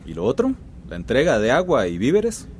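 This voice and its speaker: An actor playing an arrogant, scheming Central American company boss in a radio drama, pompous and domineering. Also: male voice, mid-range voice, huge pitch swings, brisk, a little mellow, slight background noise. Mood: impatient, scornful. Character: arrogant, scheming soft-drink company boss, contemptuous of villagers.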